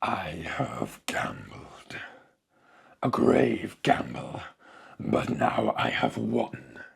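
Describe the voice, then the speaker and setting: A man voicing a sinister alien parasite inside a corpse, in a hoarse, whispery croak with clipped, halting phrases, for a horror story reading. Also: rumbling low, sing-song, slight background noise, voice pushed down, heavily acted. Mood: cold, calculating. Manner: halting, clipped telegraphic speech, savouring words. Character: alien parasite speaking through a corpse, sinister, coldly gloating.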